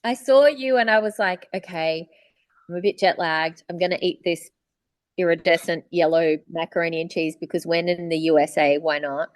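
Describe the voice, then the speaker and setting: A woman's voice: fairly low pitch, normal speed, dry recording. An Australian woman co-hosting a podcast in a casual, conversational style. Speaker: podcast co-host, voice actor.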